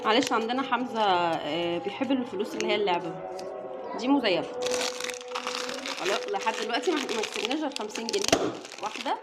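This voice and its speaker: A woman's voice: fairly high pitch, expressive, quick pace, long pauses, heavy background noise. A woman with an Egyptian accent chatting to viewers while unboxing snacks at home. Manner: chatty, addresses viewers directly. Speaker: vlogger mother. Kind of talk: casual unboxing vlog.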